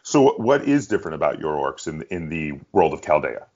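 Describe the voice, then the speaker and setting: A man's voice: extremely low pitch, very animated, a little fast, a little mellow. A man hosting a casual interview, asking questions and commenting conversationally. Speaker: interviewer, host. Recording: dull, lo-fi audio.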